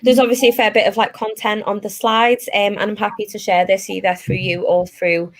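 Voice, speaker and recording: A woman's voice: medium pitch, flat delivery, brisk. A woman with a Liverpool accent, a tech recruiter speaking as a guest on a webinar in a chatty way, with lots of fillers, over a video call. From Liverpool, England. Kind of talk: casual webinar talk.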